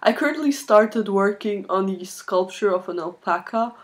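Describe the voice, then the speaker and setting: A woman in her twenties chatting casually to camera in a halting, thoughtful way, recorded on a laptop microphone in a small room. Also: mid-range voice, animated, background noise. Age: 20s. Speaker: video blogger, art student. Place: small furnished room indoors. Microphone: laptop built-in microphone at arm's length.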